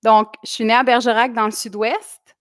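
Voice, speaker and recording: A woman's voice: fairly high pitch, little pitch movement, moderate pace, dry recording, clean studio sound. A woman in her forties from southwestern France, with a mixed accent carrying Québécois traces, chatting as a guest and laughing easily. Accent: French with Québécois traces. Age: 40s. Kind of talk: casual interview chat. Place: small studio.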